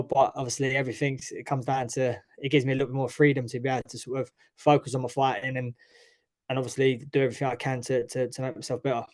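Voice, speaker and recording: A man's voice: mid-range voice, darkish tone. Chatty young East London man. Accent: East London English. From East London, England. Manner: chatty, frequent restarts and "obviously". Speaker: guest, mixed martial arts fighter. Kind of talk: casual interview talk.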